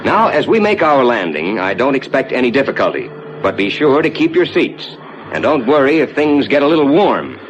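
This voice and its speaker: An American man playing a rocket ship captain, narrating a scripted 1950s ride to his passengers in a measured, reassuring, explanatory way. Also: big pitch swings, natural voice. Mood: calm, reassuring. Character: assured male rocket ship captain guiding passengers through space. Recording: dull 1950s soundtrack with loud rumbling noise.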